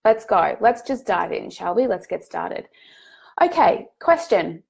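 A woman with a head cold, sounding a bit nasal, hosting a live group coaching call in a gentle, reassuring way with frequent ums and restarts. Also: medium pitch, big pitch swings, a little slow, vocal fry, husky.

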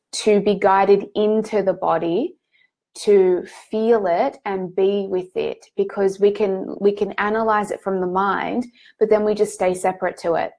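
Woman, slightly nasal from a cold, coaching host, soft reassuring delivery, frequent fillers, livestream mic. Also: medium pitch. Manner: warm, gently reassuring, frequent ums and restarts. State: congested from a head cold. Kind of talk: live coaching call.

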